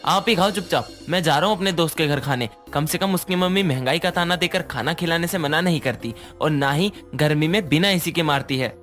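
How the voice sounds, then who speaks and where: A woman voicing a sulky but soft-hearted young student, a son and brother, in an animated moral story. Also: sits low, fairly quick, hardly any pauses, soft background music. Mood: petulant.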